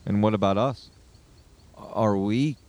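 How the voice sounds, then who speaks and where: An actor playing an anxious, remorseful, decent scientist in a science fiction audio drama. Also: male voice, lowish voice, slow, a little mellow. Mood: worried.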